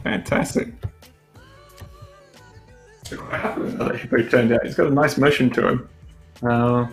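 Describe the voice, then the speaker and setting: A young Australian illustrator chatting on a livestream while drawing, relaxed and hesitant with dry humor, on a headset mic. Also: male voice, medium pitch, normal speed, faint music behind. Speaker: illustrator guest.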